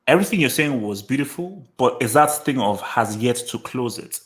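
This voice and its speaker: A man hosting a casual podcast chat, arguing his point with animated emphasis and lots of repeated words. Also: mid-range voice, fairly quick. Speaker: podcast host.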